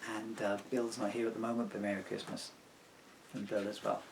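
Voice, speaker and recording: A man reading his own rhyming children's book aloud as an expressive storyteller. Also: on the low side, a little fast, creaky, background noise.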